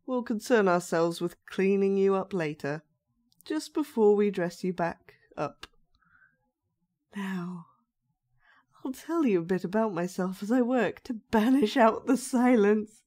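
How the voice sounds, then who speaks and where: A woman playing a sinister, soft-spoken dollmaker with magic powers in a roleplay monologue, coaxing and condescendingly gentle as she addresses the listener. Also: medium pitch, close to an everyday voice. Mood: calmly menacing.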